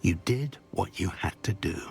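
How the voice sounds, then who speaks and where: A man's voice: low voice, expressive, normal speed, vocal fry, darkish tone, soft background music. A man playing a supportive, protective older wizard professor in a video game cutscene. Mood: reassuring. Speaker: game character.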